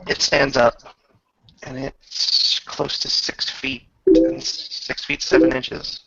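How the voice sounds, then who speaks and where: A man narrating a tabletop roleplaying game as game master, descriptive and measured, often pausing mid-sentence. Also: medium pitch, monotone, vocal fry, pushed and bright, breathy edge. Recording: dull lo-fi recording.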